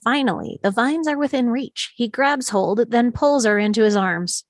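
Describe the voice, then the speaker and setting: A woman's voice: a little high, moderate pace, no room sound. Dry-sounding woman narrating a script. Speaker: narrator reading stage directions. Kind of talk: scripted narration, live table read.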